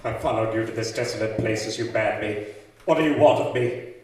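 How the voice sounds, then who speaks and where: A man's voice: fairly low pitch, a little fast, lightly acted. A man playing an anguished, defiant scientist in melodramatic old-time radio style, formal and theatrical. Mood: wary. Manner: theatrical, formal, swinging from hushed to shouting. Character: anguished, defiant male scientist confronting his creation. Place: community center hall, live stage performance. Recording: echoey hall, distant stage microphone.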